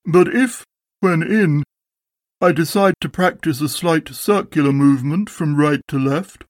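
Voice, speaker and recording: A storybook reader voicing a gloomy, dignified male donkey with a deadpan, ponderous, sarcastic manner. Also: mid-range voice, measured pace, no room sound, clean studio sound, pitched above the performer's own voice, natural voice. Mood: cold, dignified. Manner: deadpan, ponderous, coldly sardonic. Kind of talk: storybook character voice.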